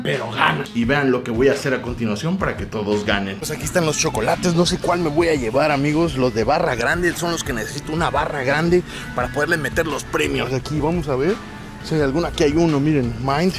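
Animated Mexican YouTuber man. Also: on the high side, brisk, over music. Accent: Mexican Spanish. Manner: loud, animated, joking, swears freely. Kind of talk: excited vlog presenting. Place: small room with foam panels, and a store.

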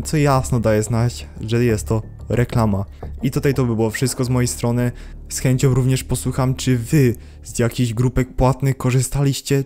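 A man in his thirties talks over screen captures as the host of his channel, giving measured, critical commentary. His delivery is flat and even, and his tone is dark and mellow. Faint music plays quietly under his voice. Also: medium pitch. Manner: measured critical commentary, addresses viewers directly.

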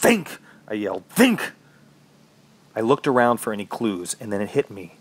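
An actor playing a trapped, injured man fighting to survive, telling his story in a horror audio drama with a husky, dramatic, self-questioning delivery. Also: fairly low pitch, big pitch swings, moderate pace. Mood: frantic. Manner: dramatic, self-questioning, swinging from muttering to shouting. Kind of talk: first-person horror audio drama narration.

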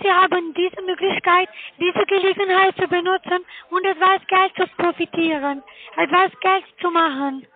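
A woman with a foreign accent and non-native, halting German, giving a sales pitch as a cold caller over the phone. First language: not German. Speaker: cold caller selling online investments. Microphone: telephone call. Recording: muffled narrowband phone line.

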